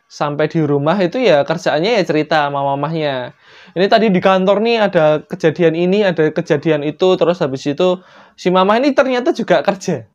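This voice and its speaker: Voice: medium pitch, a little mellow, dull audio. A young adult with a Javanese-influenced Indonesian accent improvises a story casually, with playful, joking asides. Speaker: storyteller, channel host.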